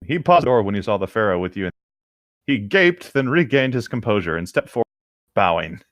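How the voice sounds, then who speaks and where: A man casually reading a story aloud, pausing hesitantly to add chatty, joking comments. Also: lowish voice, animated, dry recording, clean studio sound. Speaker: co-host reading and riffing on fan fiction.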